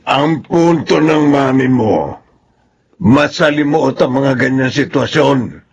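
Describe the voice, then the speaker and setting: A man's voice: medium pitch, expressive, slow pace, muffled recording, a little hiss or hum. A man with a Filipino accent playing a stern, class-conscious father in a radio drama. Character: stern, class-conscious father lecturing his grown son.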